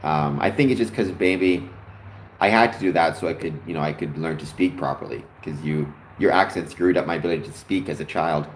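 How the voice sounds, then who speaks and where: A man with a very low voice hosts a casual livestream, talking through explanations and answering viewer comments as they come in. His voice rings in an echoey room with a faint hum of ambience behind him. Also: a little fast. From Canada. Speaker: livestream host answering chat.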